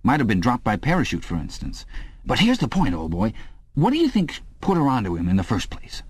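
A man's voice: mid-range voice, huge pitch swings, brisk, darkish tone, husky, no echo, pitched above the performer's own voice. A hearty, overkeen middle-aged man, as a man puts him on chatters in a chummy, blustering way. The voice sits well above the narrator's own, and the recording is dry and close.

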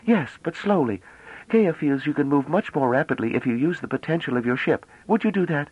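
A man reading a novel aloud, voicing a chatty, naive young woman who talks rapidly and almost breathlessly. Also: a little high, expressive, slightly rough, no echo, pitched above the performer's own voice. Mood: eager. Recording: dull lo-fi dry audio.

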